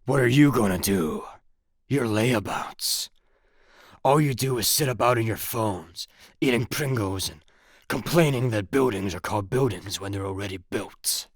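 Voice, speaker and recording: Blustering, husky Scottish henchman played by a man. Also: on the low side, a little slow, no room sound, clean studio sound. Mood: scornful. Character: grumpy, blustering henchman, easily outraged. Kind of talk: comic sci-fi radio play acting.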